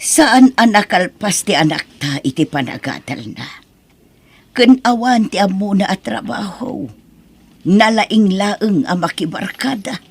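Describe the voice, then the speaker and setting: A woman playing a worried, caring elderly rural mother in an Ilocano drama, with a husky voice and anxious, pleading manner. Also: medium pitch, expressive, measured pace. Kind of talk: Ilocano radio-style drama acting.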